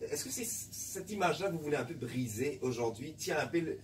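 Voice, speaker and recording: A man's voice: a little high, expressive, a little fast. A man from Mauritius, hosting a radio interview in a chatty, teasing way. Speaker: radio host. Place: radio studio. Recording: distant mic with faint background noise.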